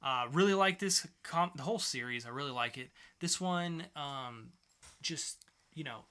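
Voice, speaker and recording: A man's voice: on the high side, normal speed, pushed and bright, clean tone. A man co-hosting a podcast, chatting casually and enthusiastically about what he reviews, repeating phrases for emphasis. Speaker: podcast co-host. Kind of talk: casual comic book review chat.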